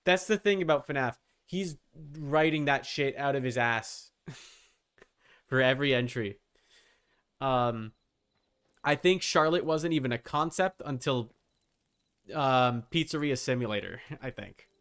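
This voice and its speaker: A young adult man chatting casually as he streams a game, rambling and halting with repeated words. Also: mid-range voice, a bit bright, clear, resonant tone. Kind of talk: casual livestream chat while gaming.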